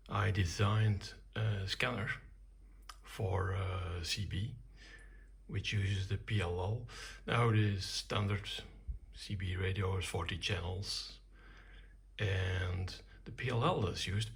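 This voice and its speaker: An older man giving an informal technical demonstration, explaining things in short, halting, measured phrases. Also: on the low side, creaky, breathy edge. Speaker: electronics hobbyist presenting own project.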